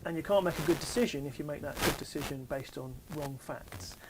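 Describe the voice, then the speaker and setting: A man's voice: fairly high pitch, a little fast. A man answering an interview question fluently and articulately. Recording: loud noise behind.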